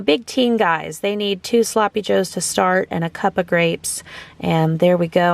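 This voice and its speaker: A hoarse middle-aged woman, a mother vlogging casual commentary while cooking in a hotel room on her handheld phone. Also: medium pitch, darkish tone. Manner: chatty, upbeat running commentary. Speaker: vlogger, mother of a large family.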